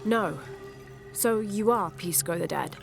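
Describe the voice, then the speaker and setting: A calm young adventurer, kind, medium pitch, game cutscene. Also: female voice, slow, vocal fry, music in the background. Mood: calm, sympathetic. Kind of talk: video game acting.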